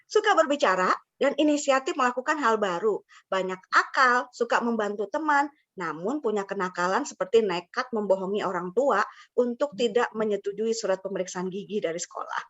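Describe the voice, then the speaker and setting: A woman's voice: medium pitch, animated, brisk, a bit bright. Lady hosting a webinar, chatty, asks the audience stuff, kinda muffled lo-fi audio. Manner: chatty, engages listeners with questions. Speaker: webinar host and teacher mentor. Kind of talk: interactive webinar presenting. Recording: muffled lo-fi online call audio.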